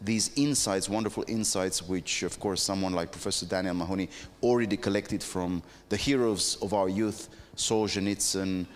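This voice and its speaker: A man speaks reflectively on a conference panel, often hesitating and restarting his phrases. His voice is somewhat low, and it carries a long reverb through the hall. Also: fairly even intonation, moderate pace, hardly any pauses.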